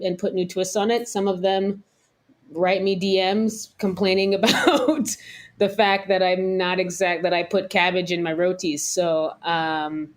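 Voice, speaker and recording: An American woman chef, around her thirties, talking candidly and thoughtfully in a relaxed interview, laughing now and then. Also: mid-range voice. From United States. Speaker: chef, guest. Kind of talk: casual interview talk.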